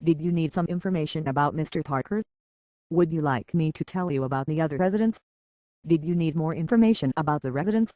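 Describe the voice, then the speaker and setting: A man's voice: a little high, fast, no echo, lo-fi sound, close to an everyday voice. A man voicing a courteous, anxious robot butler and hotel concierge in a video game, with a British accent and a formal, fussily polite manner. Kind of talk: scripted video game character acting.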